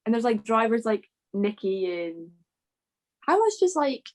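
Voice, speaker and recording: A woman's voice: on the high side, very animated, unhurried, a little mellow. A woman chatting informally as a panellist, often dropping "like" into her sentences. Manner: informal, peppered with "like". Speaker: podcast panellist. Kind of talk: casual motorsport panel chat.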